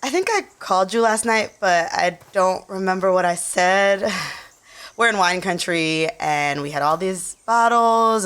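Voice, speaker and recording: A woman's voice: lowish voice, fairly bright tone, smooth. An actress playing an awkward, lovelorn young woman in a sitcom, rambling and sincere. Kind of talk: podcast sitcom acting.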